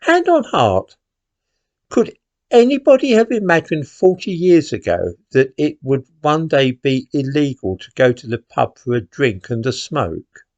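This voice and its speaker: An older man with an English accent speaks at a middle pitch, with intonation that moves up and down freely. He delivers commentary in an indignant, sardonic way, posing rhetorical questions. The sound is dry, with very little room in it. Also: sing-song, measured pace, darkish tone, dry recording, muffled recording. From England. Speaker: commentator, host. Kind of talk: opinion monologue to camera.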